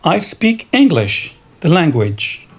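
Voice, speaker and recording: A man teaching English vocabulary, reading country and nationality words in a slow, deliberate drill. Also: on the high side, wildly swooping pitch. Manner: slow, deliberate, spells out each word. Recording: clear background noise.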